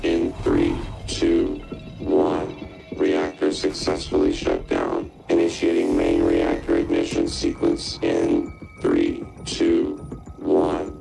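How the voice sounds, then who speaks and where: A cold station computer from a video game. It is extremely low and utterly monotone, making clipped, impassive announcements over music. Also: takes it slowly, gravelly, long pauses, exaggerated voice, poor audio. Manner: flat, mechanical, clipped announcements.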